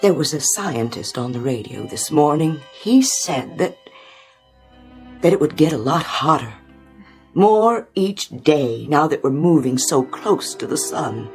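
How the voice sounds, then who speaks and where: Slow-spoken, low, weary gentle lady. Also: lively intonation, soft background music. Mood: anxious, weary. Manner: polite, hesitant, soft-hearted. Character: gentle, weary, anxious married woman, a kindly neighbour. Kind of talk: radio drama acting.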